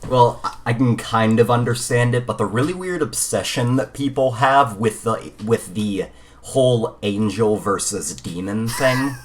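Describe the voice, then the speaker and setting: A man with a middle-pitched voice talks as a podcast co-host. His delivery is conversational and opinionated, with an explanatory bent and a readiness to correct. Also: a little slow. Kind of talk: casual podcast discussion.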